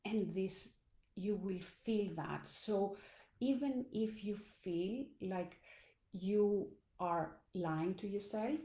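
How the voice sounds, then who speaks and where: Slow, mellow-voiced Greek woman coaching. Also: medium pitch, reverberant. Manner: earnest and deliberate, with small restarts. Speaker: life coach. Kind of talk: motivational talk to camera. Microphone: phone or camera in a live stream.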